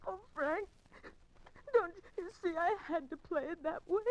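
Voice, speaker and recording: An actress playing a frantic, pleading, desperate wife in old-time radio suspense style, stammering breathlessly. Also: female voice, very high voice, measured pace, rough recording. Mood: tearful pleading. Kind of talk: 1950s radio suspense acting. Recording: old radio recording, faint noise.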